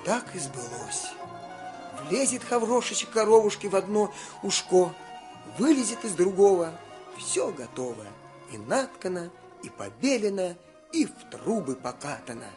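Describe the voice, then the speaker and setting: Male storyteller, high voice, singsong folk-tale narration, faint music underneath. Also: very animated, measured pace, darkish tone. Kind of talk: scripted fairy-tale narration.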